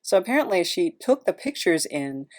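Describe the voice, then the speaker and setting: A woman's voice: lowish voice, big pitch swings, normal speed, a little mellow. A woman podcast host chatting in a casual, rambling, self-deprecating way.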